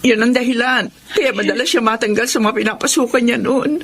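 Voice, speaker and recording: Slightly high-voiced, worried wife played by an actress. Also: female voice, brisk, slight background noise, lightly acted. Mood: worried, ashamed. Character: worried, embarrassed wife of a drinker, sister-in-law. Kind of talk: Tagalog radio drama acting.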